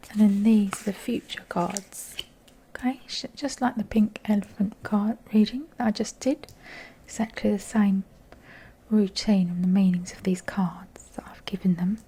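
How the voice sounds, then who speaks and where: A woman with a croaky, breathy voice, often close to a whisper, giving an intimate, informal tarot reading to viewers with gentle, chatty asides and a slightly low-energy delivery. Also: slight background noise. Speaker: tarot card reader and channel host.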